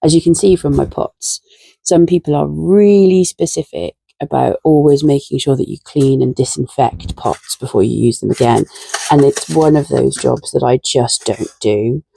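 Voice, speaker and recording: A woman talks in a relaxed, chatty way while she works, her croaky voice gravelly with fry. Her tone is mellow and slightly husky, and the sound is dry and close. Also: rumbling low, normal speed, no echo, low-quality recording. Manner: relaxed, chatty, self-deprecating. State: croaky, tired voice. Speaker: gardening vlogger. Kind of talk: casual chat while gardening. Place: garden shed and greenhouse.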